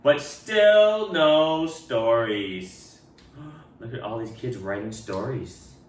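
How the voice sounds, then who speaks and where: A man reads a children's story aloud with a lively, swooping delivery, speaking straight to his young listeners. He sounds a little way from the microphone, in an echoey room. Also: medium pitch, very slow. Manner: expressive, addresses children directly, acts out dialogue. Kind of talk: animated picture-book read-aloud with asides. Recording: roomy echo, distant microphone.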